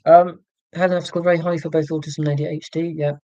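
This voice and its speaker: A man live streaming from home and reading chat comments aloud, talking in a rambling, halting way with many ums and restarts and a voice he calls monotonous. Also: a little high, dry recording. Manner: self-described monotonous voice.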